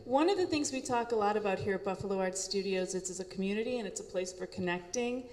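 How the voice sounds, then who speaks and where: A woman's voice: medium pitch, fast, darkish tone. A woman host at an arts studio, giving closing remarks to the audience. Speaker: gallery staff host. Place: gallery hall. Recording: reverberant hall.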